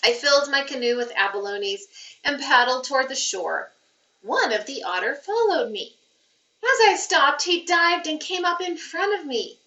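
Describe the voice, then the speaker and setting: Female read-aloud narrator for children's fiction, expressive and crisp-edged bright tone, recorded a little distant and dull. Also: sits high, moderate pace. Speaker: teacher reading aloud. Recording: roomy, muffled, distant microphone.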